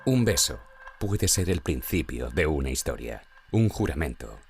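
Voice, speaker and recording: A man with an extremely low voice narrates a radio promo. His delivery is solemn and dramatic, with lively swings in pitch over faint music. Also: normal speed. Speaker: promo announcer.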